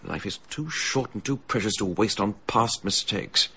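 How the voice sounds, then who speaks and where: An actor playing a fussy, huffy middle-aged British gentleman in a radio drama, pedantic, hesitant, then emphatic. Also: on the low side, moderate pace, breathy edge, full character voice. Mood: huffy, indignant. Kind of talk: BBC radio drama acting. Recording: dull radio drama recording with music.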